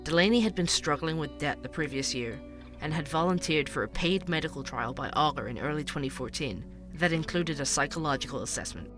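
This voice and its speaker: A man's voice: a little high, brisk, over music, lightly acted. A man playing an inquisitive, earnest true-crime podcast host, delivering scripted narration. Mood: serious, intrigued.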